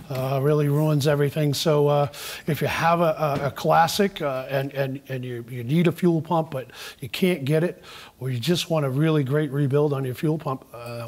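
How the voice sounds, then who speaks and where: Middle-aged male shop vlog host, slightly high voice, chatty and informal, prone to repeating himself. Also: a little mellow. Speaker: vlog host, car enthusiast. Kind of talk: casual on-camera conversation. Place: indoor auto rebuilding workshop.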